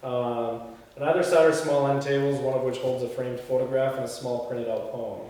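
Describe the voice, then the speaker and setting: A man's voice: medium pitch, fairly even intonation, normal speed, mellow. A man reading script directions aloud at a table read and chatting casually about them. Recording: echoey room, distant mic, faint noise.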